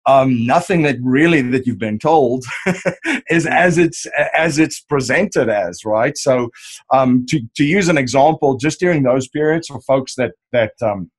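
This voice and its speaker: A man's voice: medium pitch, expressive, measured pace, husky. South african guy in his 30s, chatty guest, lots of um and uh, just talking through his backstory. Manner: rambling, many fillers and restarts. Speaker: podcast host and wealth strategist guest. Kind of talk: casual interview answer.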